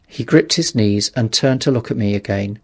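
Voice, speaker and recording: A man's voice: on the low side, normal speed, husky. A man narrating a literary audiobook in a dramatic, scripted storytelling style.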